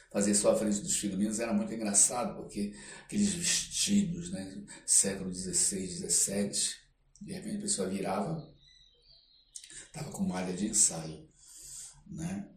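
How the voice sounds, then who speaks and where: An older man, reminiscing casually as an interview guest and often pausing to search for words. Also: on the low side, animated. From Belém, Pará, Brazil. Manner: halting, searching for words, frequent check-in tags. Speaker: podcast guest, theatre artist. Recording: roomy echo, off-mic, faint background noise.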